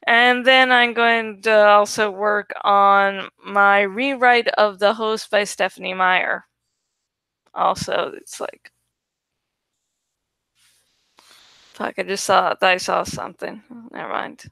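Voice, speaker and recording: A woman's voice: medium pitch, level tone, slow, gravelly, pauses often, sharp tone, clear, resonant tone, no echo. A woman host chatting casually to her viewers, swearing freely and laughing between remarks, while not feeling fully well. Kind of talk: casual chat to camera.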